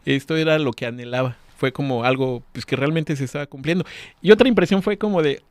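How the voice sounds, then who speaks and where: A man's voice: medium pitch, fast talker, clean tone, dry recording. A man, telling his story as a guest in an earnest, searching way. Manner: earnest, restarts words while thinking. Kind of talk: casual conversation.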